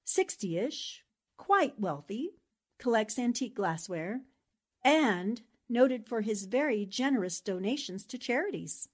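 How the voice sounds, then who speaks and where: A confident 1940s radio newspaperwoman, played by an actress, wry and knowing. Her voice sits fairly high and swings expressively, with a measured, unhurried delivery. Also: lively intonation, breathy edge. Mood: inquisitive. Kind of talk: 1940s radio drama acting.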